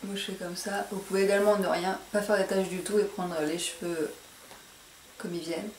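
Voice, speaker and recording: A woman's voice: medium pitch, little pitch movement, a little fast, darkish tone. Girl doing a chatty hair tutorial at home, bit careful with her words, echoey room, slight background noise. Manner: self-conscious, careful about pronunciation. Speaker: beauty vlogger presenting a hair tutorial. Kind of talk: casual chatty tutorial to camera. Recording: roomy echo, faint background noise.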